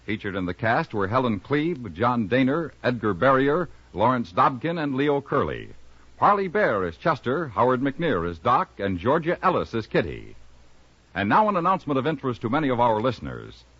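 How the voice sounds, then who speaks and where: A man's voice: mid-range voice, sing-song, moderate pace, fairly bright tone, breathy edge, no room sound. A man with an American accent reading formal, emphatic announcements as a 1950s radio announcer. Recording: dull vintage 1950s radio recording. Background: music.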